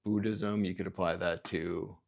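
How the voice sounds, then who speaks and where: A man from Canada hosting a livestream, musing aloud in halting, self-interrupting sentences and reading out viewer comments. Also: sits low, level tone, creaky. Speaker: livestream host. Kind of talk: rambling livestream chat.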